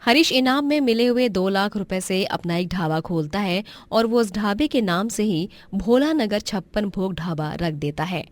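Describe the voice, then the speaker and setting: A woman's voice: medium pitch, animated, moderate pace, hardly any pauses, no echo. A woman giving scripted storytelling narration as the narrator of a tale.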